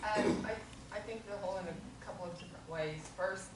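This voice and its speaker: A woman giving chatty, animated feedback in a group discussion. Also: mid-range voice, brisk, background noise. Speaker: audience member giving feedback. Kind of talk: casual discussion. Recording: echoey room from far off, crowd.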